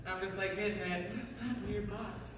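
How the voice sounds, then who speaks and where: A woman in her thirties with a raspy voice, a singer-songwriter chatting on stage between songs in a live music venue, rambling and self-deprecating. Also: medium pitch, steady tone, fairly quick, noisy background. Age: 30s. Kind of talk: casual stage banter. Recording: echoey room, mic from a distance.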